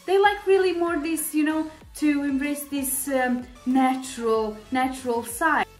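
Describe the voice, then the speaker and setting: A woman's voice: high voice, roomy sound. A young woman from Serbia, talking to camera in a makeup tutorial, chatty and full of fillers. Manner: chatty, many fillers, speaks to viewers directly. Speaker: beauty vlogger. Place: small indoor room with shelves.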